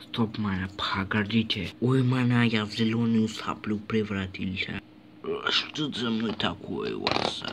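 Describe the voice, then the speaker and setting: A man's voice: on the low side, unhurried, creaky. Man doing a boastful cartoon guy, noisy recording. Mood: playful. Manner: sprinkles speech with a repeated slang filler word. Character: boastful goofy Tajik toy guy with magic powers. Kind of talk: improvised amateur toy cartoon voice-over. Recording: noisy amateur home recording.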